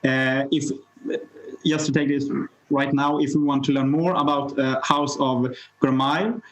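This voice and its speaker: Flat-voiced male panel moderator. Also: medium pitch, normal speed, muffled recording.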